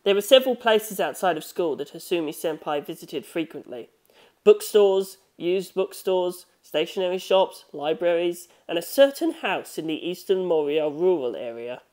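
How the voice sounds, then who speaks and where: A man's voice: sits high, animated, moderate pace, a little mellow. Male narrator, scripted storytelling, audiobook reading.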